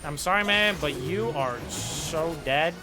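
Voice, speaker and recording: A man's voice: fairly high pitch, animated, a little slow, music in the background. A man giving casual, chatty, playful commentary while live streaming a video game. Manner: chatty, playful, muttering nonsense syllables.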